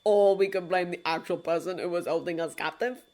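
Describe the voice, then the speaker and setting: A woman's voice: lowish voice, steady tone, a little fast, a little mellow, natural voice. A woman reading the part of an ordinary earnest man who talks in a casual, blunt, argumentative way.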